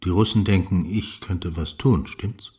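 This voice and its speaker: A guarded older general from a 1930s radio drama. His voice sits low, and he talks in clipped, weighty military phrases, giving little away. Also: unhurried, dark tone. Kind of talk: radio play dialogue.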